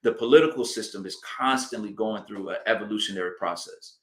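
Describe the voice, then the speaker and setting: A man giving a sermon as an imam, speaking deliberately and emphatically and repeating phrases to drive points home. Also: on the low side, fairly bright tone. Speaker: imam giving a religious address. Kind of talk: sermon, public teaching address. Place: indoor hall of a mosque. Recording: roomy, echoing live address.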